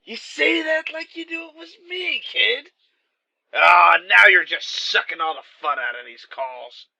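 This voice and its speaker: Male actor as hostile radio caller: very high, piercing, creaky voice, mocking working-man grouch in a spooky radio drama. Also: expressive, measured pace, bright, forceful tone, full character voice. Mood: scornful, heckling. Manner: abrasive, mocking, slangy. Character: belligerent, fed-up working man heckling a radio show.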